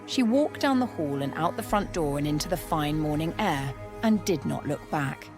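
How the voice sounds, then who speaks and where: A woman narrates a piece of fiction in a low voice. Her delivery is measured and literary. Music plays clearly behind her. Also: lively intonation. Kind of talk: scripted story narration.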